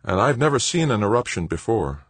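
A man voicing a gruff, rugged veteran male explorer on the moon in an audiobook story. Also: lowish voice, sing-song, fairly quick, dry recording, voice pushed up. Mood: awed.

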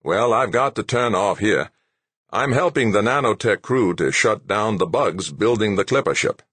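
A man acts out an easygoing male engineer in a novel. He talks casually and matter-of-factly in a raised, slightly husky voice that moves up and down freely. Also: fairly low pitch, lively intonation, moderate pace, a bit bright, dry recording, pitched above the performer's own voice. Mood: casual, sympathetic. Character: lanky, easygoing male practical engineer, mildly sympathetic. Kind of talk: acted audiobook dialogue.

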